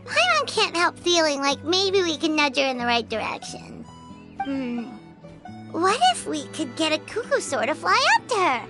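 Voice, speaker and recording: A tiny female fairy sidekick from a video game. The voice is squeaky and childlike, pitched extremely high with very animated swings. She comes across as earnest and excitable, over prominent music. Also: normal speed. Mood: earnest, pondering. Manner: speaks of herself in third person. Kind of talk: cartoonish video game voice acting.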